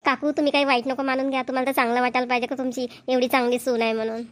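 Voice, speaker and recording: A woman's voice: sits high, level tone, very fast, natural voice. A woman voicing a friendly, gossiping village grandmother and neighbour with a Varhadi Marathi accent in an amateur cartoon comedy. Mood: chatty, neighbourly.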